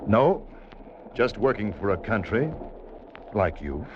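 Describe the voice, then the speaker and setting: An actor playing a wry, cool American secret agent in a 1950s radio thriller, narrating and talking with a flippant, nonchalant air. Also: male voice, lowish voice, big pitch swings, slow pace, dull audio, a little hiss or hum. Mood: flippant, teasing. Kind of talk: 1950s radio thriller acting, first-person narration and dialogue.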